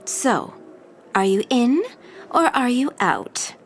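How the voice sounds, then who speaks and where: A woman playing a cunning, seductive crime crew leader in an audio drama, with a smooth, confident, persuasive manner. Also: a little high, expressive, slow, a little hiss or hum. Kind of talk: scripted audio drama acting.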